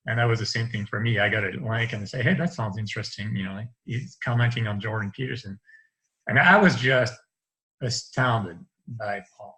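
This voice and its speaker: French-accented guy in his 50s, bright forceful voice, rambles a bit on a webcam call from his study. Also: lowish voice, a little fast, poor audio. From France. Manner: rambling, restarts often, light laughs. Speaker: interview guest. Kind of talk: casual conversation over video call. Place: home study with bookshelves. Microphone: video call webcam.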